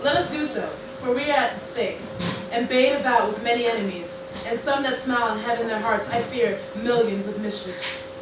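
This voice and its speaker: A woman's voice: a little high, normal speed, lightly acted. A woman playing a wary ally, reciting mock-Shakespearean radio play lines. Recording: very echoey, distant, noisy.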